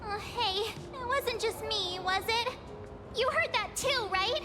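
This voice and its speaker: A woman voicing an excitable young woman companion in a game who asks wide-eyed questions. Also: very high voice, slow pace, full character voice. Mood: amazed. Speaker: game character. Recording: game audio with music under.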